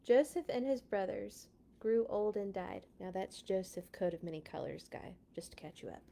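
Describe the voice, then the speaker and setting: A woman hosting a children's read-along, reading storybook text with deliberate dramatic emphasis and dropping into casual asides. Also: mid-range voice, expressive, gravelly, mellow. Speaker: children's read-along host and Bible school teacher.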